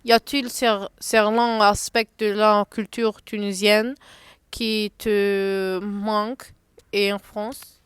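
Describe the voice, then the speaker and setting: A woman interviewing her young cousin, reading prepared questions in a slow, halting way and sometimes restarting words. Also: mid-range voice, flat delivery, clean tone, dry recording, clean studio sound. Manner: slow, halting delivery with restarts mid-word.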